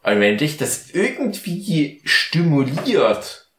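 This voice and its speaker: A man's voice: on the high side, big pitch swings, slow pace, fairly bright tone. A tipsy man co-hosting a podcast, rambling in a mock-philosophical way during a casual chat. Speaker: podcast co-host. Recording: echoey room.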